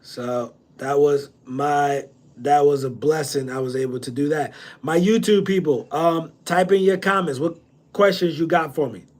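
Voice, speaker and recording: Casual slangy man, slightly high voice. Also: unhurried. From New Jersey. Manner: loose, slangy, repeats himself with many ums. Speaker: real estate investor hosting a livestream. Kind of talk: casual livestream Q&A.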